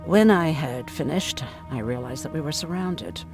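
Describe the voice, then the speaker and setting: An actress playing a middle-aged camp survivor, a weary, hardened doctor with a bitter, dryly ironic manner in a video game. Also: female voice, sits low, expressive, normal speed, over music. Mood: guarded, dry. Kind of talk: video game drama acting.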